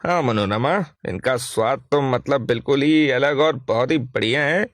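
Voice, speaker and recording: A man's voice: mid-range voice, moderate pace, no room sound, studio quality, close to an everyday voice. A man voicing a poor, injured working husband and father in a cartoon story, homely and plaintive. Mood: pleased.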